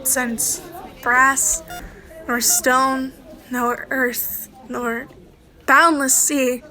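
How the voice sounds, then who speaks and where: Slow, high-pitched young woman reciting verse. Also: pushed and bright, rough recording. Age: young adult. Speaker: student drama performer. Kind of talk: dramatic Shakespeare sonnet monologue.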